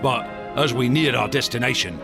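Man playing a gravelly, passionate obsessed explorer. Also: medium pitch, normal speed, over loud music, close to an everyday voice. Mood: fervent storytelling. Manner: theatrical, grandiose storyteller. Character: passionate, secretive male artist and explorer obsessed with ancient gods. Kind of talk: radio drama acting.

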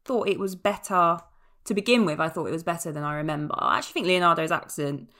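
A woman's voice: lowish voice, quick pace, fairly bright tone. A woman hosting a casual film podcast, chatty and opinionated, often correcting herself as she talks. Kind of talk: casual film review chat.

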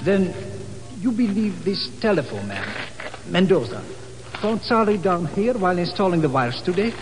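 An actor playing a formal, polite, anxious security colonel in a 1950s radio drama, with precise wording. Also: male voice, high voice, very animated, normal speed, over music. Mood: grave, urgent. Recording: noisy old 1950s radio recording.

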